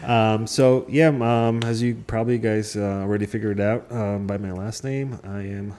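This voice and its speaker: Low-voiced relaxed man in his thirties. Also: a little hiss or hum. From Poland. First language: Polish. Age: 30s. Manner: easygoing, laughs often, many filler pauses. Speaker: podcast guest, attorney. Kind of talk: casual interview chat. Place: home.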